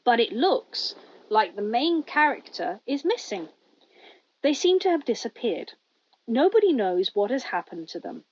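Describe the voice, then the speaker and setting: Lady doing hesitant urgent stranger on the studio speakers, mellow n husky, asking for help, story audio. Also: a little high, big pitch swings, lo-fi sound. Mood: worried, pleading. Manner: hesitant, halting.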